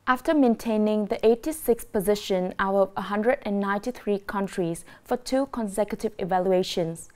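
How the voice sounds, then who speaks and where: Mid-pitched female news presenter. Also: darkish tone. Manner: formal announcer delivery.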